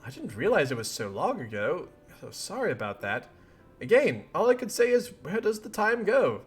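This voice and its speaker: A man's voice: fairly high pitch, slightly rough. A man voicing a cheerful, oblivious young male adventurer who rambles earnestly in wordy, folksy old-fashioned slang. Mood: apologetic.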